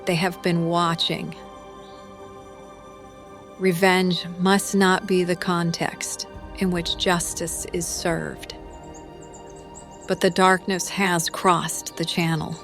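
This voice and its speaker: A woman playing a determined, proud young warrior in a dramatic audio drama, speaking in a measured, solemn way. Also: lowish voice.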